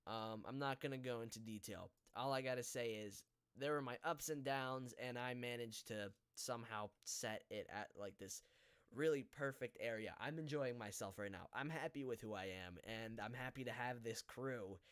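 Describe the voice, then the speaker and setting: Male podcast host, clean smooth tone, informal live chat, meandering and halting in his phrasing. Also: medium pitch, fairly bright tone. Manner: hesitant, rambling, often trailing off mid-thought. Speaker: podcast host and amateur voice actor.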